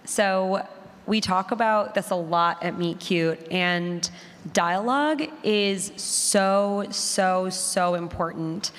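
A woman's voice: lowish voice, takes it slowly. A woman speaking conversationally as a producer on a panel, enthusiastic and stressing her words by repeating them. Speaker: audio drama producer, panelist. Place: large room at a live conference panel. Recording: reverberant hall.

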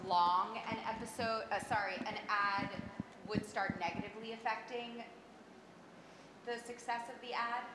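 A woman's voice: fairly high pitch, fairly even intonation, normal speed. A woman in an audience asking a casual, unscripted question. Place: conference hall audience. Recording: echoey room, loud noise, clicks.

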